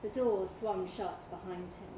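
A woman's voice: mid-range voice, steady tone, measured pace. A woman reading a literary short story expressively at a live event in a pub room. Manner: acts out dialogue in character. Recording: echoey room with clear background noise.

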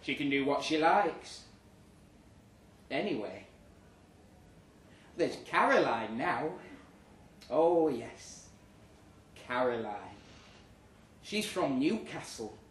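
A man giving a theatrical, expressive reading of a comic short story live in a pub room. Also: mid-range voice, takes it slowly, long pauses. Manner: theatrical, expressive, acting out the dialogue. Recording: roomy, distant live recording with noise.

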